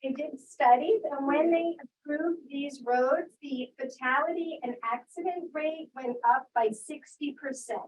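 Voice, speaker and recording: A woman's voice: high voice, measured pace, hardly any pauses, a little mellow. A woman speaking earnestly at a town meeting, arguing her point with statistics. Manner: earnest, argumentative, cites statistics. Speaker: resident speaking at public comment. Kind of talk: public comment at a town meeting. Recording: distant voice with faint hiss.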